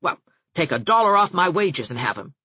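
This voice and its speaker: A woman's voice: on the low side, very animated, fairly quick, husky, no room sound, phone-quality sound. A woman voicing an indignant, bossy housekeeper in an audiobook, emphatic, scolding and folksy. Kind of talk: audiobook character voice.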